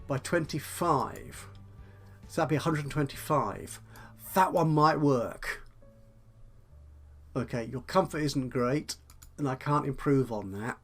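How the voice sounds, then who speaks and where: Croaky man thinking aloud. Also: mid-range voice. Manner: chatty, thinking aloud, muttering asides. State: slightly croaky. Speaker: let's play host. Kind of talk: casual gameplay commentary.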